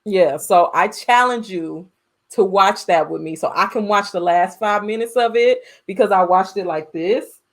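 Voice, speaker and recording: Female American podcast co-host, mid-range voice, animated casual storyteller, salty language. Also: medium pitch, moderate pace. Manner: animated storytelling with frequent profanity. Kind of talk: casual movie-podcast chat.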